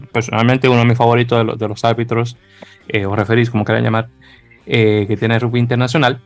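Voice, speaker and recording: Male host, chatty, stumbles and restarts, laughs a lot, faint music behind. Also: mid-range voice. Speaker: podcast host. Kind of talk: casual chat.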